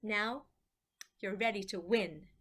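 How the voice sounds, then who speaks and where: A woman reading the part of a caring, upbeat little girl in a picture book, with a gentle, encouraging, expressive delivery. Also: mid-range voice, takes it slowly, husky. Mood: pleased.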